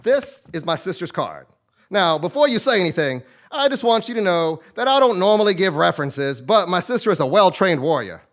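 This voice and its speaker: A man playing an eccentric, melodramatic fortune-telling sage in a comic play on stage, with drawn-out pondering and sudden cries. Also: high voice, very animated, a bit bright. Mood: confiding. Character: eccentric, theatrical self-styled male sage and fortune teller. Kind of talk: comic stage acting before a live audience. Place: theatre stage, live performance.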